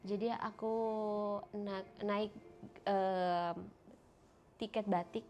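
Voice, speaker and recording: A woman's voice: mid-range voice, steady tone, extremely slow, a little mellow. Young woman, nasal cold voice, casual handheld vlog in a hotel room, faint ambient noise. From Indonesia. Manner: chatty, talking to viewers, pointing things out. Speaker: vlogger. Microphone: handheld phone camera.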